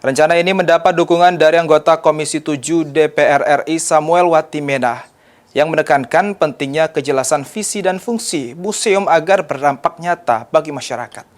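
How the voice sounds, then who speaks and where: A man's voice: on the high side, brisk. A man presenting scripted news in the role of anchor.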